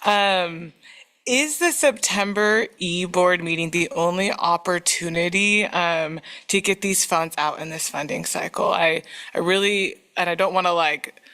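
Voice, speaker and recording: A woman council member speaking at a public meeting, earnest and hesitant, with many pauses and ums. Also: on the low side, bright, forceful tone. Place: meeting room.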